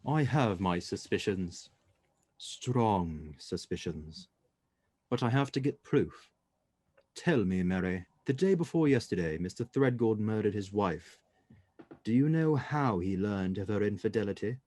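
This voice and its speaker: Mellow, commanding gentleman detective played by a man. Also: on the low side, lively intonation. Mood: grave, deductive. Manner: formal, measured, assured. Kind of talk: radio drama acting.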